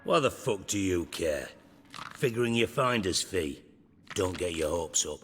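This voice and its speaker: An actor playing a cynical, middle-aged former count turned crime boss, curt, sarcastic and profane, in a video game. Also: male voice, sits low, expressive, a little slow, slight background noise. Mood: irritated. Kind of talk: video game cutscene dialogue. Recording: reverberant hall sound, loud background music.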